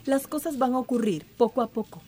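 An actress playing a cool, calculating villain who schemes patiently in a radio drama. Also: female voice, a little high, fairly quick, mellow, slightly rough.

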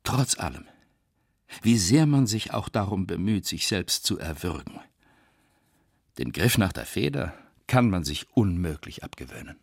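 An actor playing a weary, wry writer with a raspy, gravelly voice, quoted in a radio drama. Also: male voice, low voice, very animated, a little slow, dry recording, clean studio sound, heavily acted. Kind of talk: literary quotation in radio drama.

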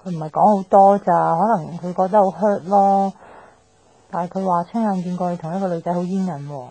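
Chatty, husky Hong Kong young woman. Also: lowish voice, steady tone, a little fast, dark tone, low-quality recording. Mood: nosy, insistent. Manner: bantering, slangy, exclamatory. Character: chatty, teasing young woman, blunt and nosy. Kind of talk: 1990s radio drama acting. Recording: radio drama with music underneath.

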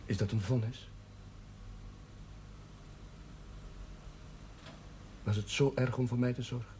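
Dark-voiced, earnest chaplain played by an actor. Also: male voice, mid-range voice, fairly even intonation, fast talker, close to an everyday voice. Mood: earnest, concerned. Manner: gentle, serious, concerned. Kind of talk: naturalistic television drama acting. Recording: noisy old television soundtrack.

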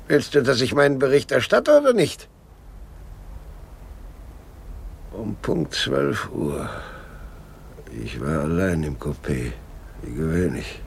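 A frail old husband in a radio drama, brooding and querulous with dry, morbid wit. The delivery is slow and halting, the pitch swinging widely over a gravelly, creaking tone. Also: male voice, slight background noise. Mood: insistent. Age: older. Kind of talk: theatrical German radio play acting.

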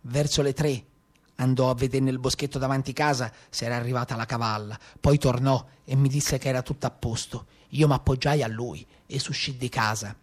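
A man's voice: medium pitch, steady tone, fairly quick, natural voice. A man playing a weary, proud old brigand with a Tuscan accent, telling his life in colloquial, reflective theatrical monologue. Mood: subdued. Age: 60s, as played. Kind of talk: theatrical first-person storytelling.

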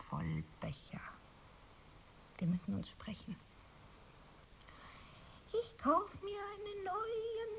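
A woman playing a young aspiring actress in a radio play, dreamy, wistful and proud, with breathy theatrical musing. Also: very high-pitched, slight background noise, heavily acted, low-quality recording. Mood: indignant. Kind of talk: radio play monologue and dialogue.

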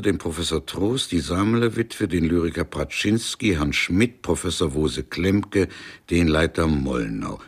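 Wordy ironic detective for a radio play, really deep voice, husky, kinda pompous. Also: male voice, exaggerated voice. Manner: pompous, learned, dry. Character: erudite, world-weary male police detective, wordy and ironic. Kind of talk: 1990s German radio play acting.

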